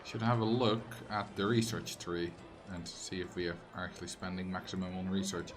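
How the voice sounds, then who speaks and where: A young man with a Dutch accent, a native Dutch speaker, casually commenting on a game during a live stream, reading viewer chat aloud, joking back, and now and then breaking into loud, exasperated rants. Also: low-pitched, flat delivery, a little fast, noisy background. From Netherlands. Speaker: livestreamer.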